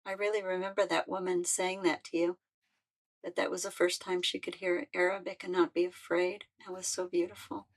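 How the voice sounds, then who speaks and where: An older woman speaks about her own life in a low, gravelly voice. She talks in a heartfelt, halting way, often circling back and restarting her sentences. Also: little pitch movement, rough recording. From United States. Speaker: musician and peace activist. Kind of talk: personal reflective talk.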